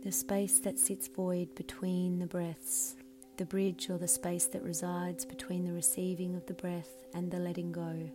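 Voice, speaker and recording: A woman leading a scripted guided meditation in a soothing, measured way, her phrases often softening into breathiness. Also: lowish voice, flat delivery, music in the background. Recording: clearly audible background hum and noise.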